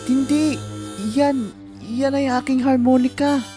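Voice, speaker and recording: A woman voicing an anxious, inquisitive lost child in a children's fairy tale cartoon, questioning and excitable. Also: sits high, takes it slowly, faint music behind. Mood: excited.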